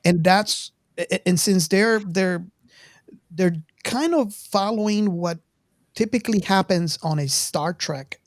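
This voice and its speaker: A woman in her fifties hosting a casual film discussion, speaking haltingly and often repeating words as she goes. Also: on the low side, no room sound, studio quality. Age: 50s. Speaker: podcast host.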